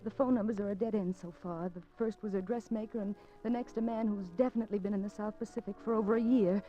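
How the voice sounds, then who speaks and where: A woman's voice: mid-range voice, steady tone, fast, mellow, faint music behind, close to an everyday voice. An actress playing an anxious, determined woman undercover in a 1950s radio suspense drama, with coy, hesitant delivery. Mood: wistful, uneasy. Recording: dull 1950s radio recording.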